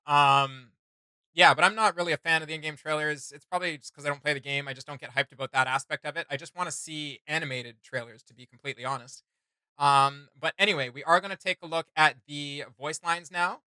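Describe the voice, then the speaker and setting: A man's voice: on the high side, fairly even intonation, brisk, bright, forceful tone, clear, resonant tone, no room sound. A young man hosting a reaction video in casual, chatty style. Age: young adult. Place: small home room with desk.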